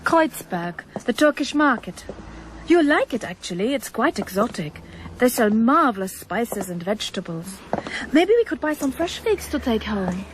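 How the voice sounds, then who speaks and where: A woman's voice: a little high, big pitch swings, moderate pace, slight background noise. An actress playing a sweet-seeming but stubborn young woman in a radio drama, coaxing and determined. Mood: eager, coaxing.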